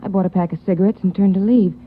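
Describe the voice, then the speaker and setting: An actress playing a frightened, halting woman witness to a crime in a 1940s radio drama. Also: mid-range voice, steady tone, a little hiss or hum, natural voice. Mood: tense recounting. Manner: halting, stammering, repeats words. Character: frightened young woman recalling a deadly holdup. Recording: phone-like vintage 1940s radio recording.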